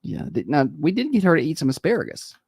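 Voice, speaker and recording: A man's voice: fairly high pitch, huge pitch swings, vocal fry, darkish tone, slightly rough, dry recording, studio quality. A man in his thirties co-hosting a morning radio show, joking in casual banter. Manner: quick, joking, slightly self-deprecating. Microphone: studio microphone.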